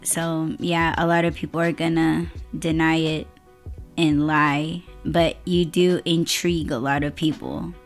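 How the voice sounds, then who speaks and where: Slow-talking hoarse woman podcast host. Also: sits low, flat delivery, soft background music. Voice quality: raspy. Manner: laid-back, laughs often. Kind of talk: casual podcast chat.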